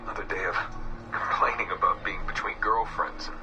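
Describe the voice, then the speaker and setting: An actor playing a weary, haunted young man, recording a halting, reflective confession in a subdued murmur for a game. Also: sits low, steady tone, lightly acted. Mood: wistful, halting. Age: young adult. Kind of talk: recorded confessional audio diary, game voice acting. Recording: distant game audio with music behind. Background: music.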